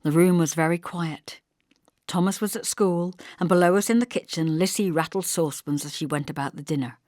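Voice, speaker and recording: A woman reading a literary first-person story as an audiobook narrator, measured and expressive. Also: lowish voice, no echo, studio quality.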